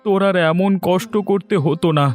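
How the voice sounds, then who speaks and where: High-voiced tender village father played by a man. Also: steady tone, normal speed, faint music behind, lightly acted. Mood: sorrowful, tender. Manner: tender, lamenting. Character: poor, tender, sorrowful widowed village father. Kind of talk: Bengali cartoon story dialogue.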